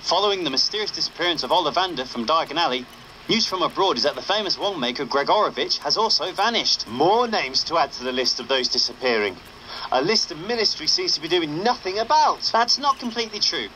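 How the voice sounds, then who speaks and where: An actor playing a wry young rebel wizard radio announcer in a video game, with punchy, sarcastic delivery. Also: male voice, fairly high pitch, animated, brisk, pushed and bright, slight background noise, low-quality recording. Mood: wry, newsy.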